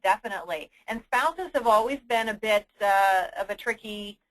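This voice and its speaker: Woman, mid-range pitch, conference call host, explanatory, businesslike, halting delivery, question-and-answer briefing. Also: fairly bright tone, poor audio. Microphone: telephone conference line.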